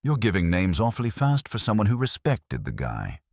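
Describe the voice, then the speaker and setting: A man's voice: fairly low pitch, huge pitch swings, normal speed, a little mellow, dry recording, voice pushed up. A man voicing a wry, persistent private investigator in a detective audiobook, dry, probing and unruffled. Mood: dry, pressing. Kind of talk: detective audiobook character dialogue.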